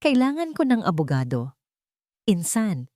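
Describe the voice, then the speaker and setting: A woman's voice: mid-range voice, very animated, unhurried, mellow, no echo, clean studio sound. A woman voicing a scheming, sweet-talking daughter-in-law in a dramatic story, coaxing and bossy. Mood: falsely earnest.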